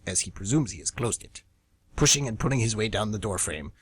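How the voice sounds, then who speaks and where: A man with a husky voice reading scripted, dramatic story narration for a radio theatre show. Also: lowish voice, a bit bright. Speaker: narrator and co-host.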